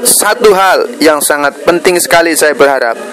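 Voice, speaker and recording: A man with a Javanese Indonesian accent giving earnest, somewhat preachy commentary to his viewers as a video blogger, pausing often mid-phrase. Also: a little high, a bit bright, poor audio. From Indonesia, Java.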